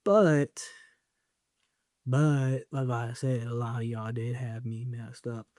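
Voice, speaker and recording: A man's voice: mid-range voice, fairly even intonation, takes it slowly, dark tone, no room sound, clean studio sound. A young man hosting his own podcast, talking casually in a loose, joking way with lots of slang and fillers. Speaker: podcast host. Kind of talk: casual solo podcast talk.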